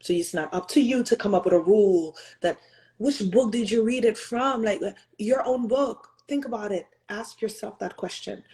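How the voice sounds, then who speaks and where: An earnest woman in her thirties gives a motivational talk with a mellow, warm tone. She leans on emphatic rhetorical questions to push her listeners, and now and then slips into French and Haitian Creole. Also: mid-range voice, normal speed. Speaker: host.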